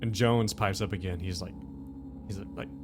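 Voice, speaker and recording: Low-voiced man running a tabletop game. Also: steady tone, moderate pace. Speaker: game master. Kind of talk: casual tabletop game narration. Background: music.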